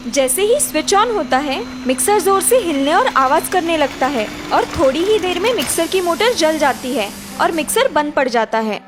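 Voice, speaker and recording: A woman narrates a scripted cartoon tale. Her voice is high-pitched, with faint music playing underneath as she tells the story. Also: sing-song, moderate pace, few pauses.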